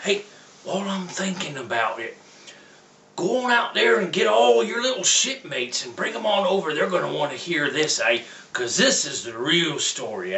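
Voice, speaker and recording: Guy doing a jolly pirate santa cousin reading a storybook aloud, kinda high and swoopy, sounds far off the mic. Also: a bit bright, a little hiss or hum, heavily acted. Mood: jovial. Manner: folksy, tags lines with "eh?", pirate slang. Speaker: storybook reader. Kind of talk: in-character read-aloud. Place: room, reading to a computer. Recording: roomy, mic at a distance.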